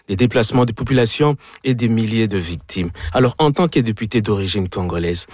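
Male interviewer with a rough-edged, husky tone and dry sound, delivering formal, carefully worded questions. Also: medium pitch, darkish tone, no echo.

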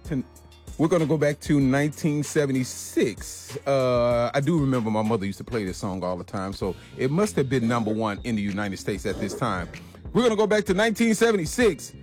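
Laughing radio co-host. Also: male voice, medium pitch, animated, brisk, a little mellow, faint music behind. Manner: laughing, reads history items conversationally. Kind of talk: morning radio banter.

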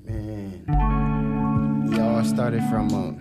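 A man's voice: lowish voice, fairly even intonation, very slow, loud music behind the voice. A young man from Samoa, a guest musician, talking casually in a loose, repetitive way. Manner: laid-back, loose, repetitive phrasing. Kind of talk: casual interview.

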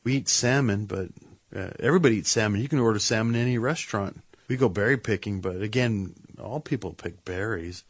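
A man reflects plainly on growing up in a documentary interview. His voice is lowish and husky. It is recorded close and dry. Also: brisk, no room sound.

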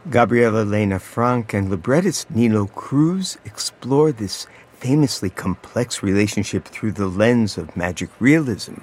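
Man, radio co-host, lowish voice, smooth, scripted. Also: lively intonation, measured pace, a little mellow. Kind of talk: scripted broadcast presenting.

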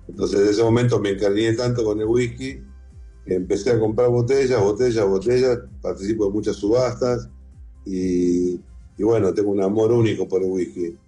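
A middle-aged Argentine man speaks in a very dark, rough and husky voice, on the low side. He answers interview questions conversationally, with music playing behind him. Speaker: interview guest, whisky museum founder and collector.

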